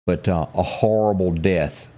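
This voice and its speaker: A man hosting a live local news talk show, speaking in a halting, folksy way and repeating phrases for emphasis. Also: sits low, mellow. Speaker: morning show host.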